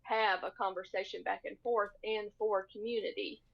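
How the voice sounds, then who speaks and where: A woman's voice: medium pitch, fairly even intonation, moderate pace, gravelly. Earnest woman guest on dull call audio. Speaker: podcast guest, sewing hobbyist. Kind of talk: casual chat. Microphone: video call.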